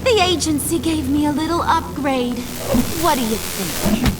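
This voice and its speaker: A woman's voice: sits high, expressive, unhurried, far from the mic, over loud music, poor audio. A woman playing a gleeful, menacing villainess in a video game, with a singsong, playful taunting manner. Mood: gleeful menace.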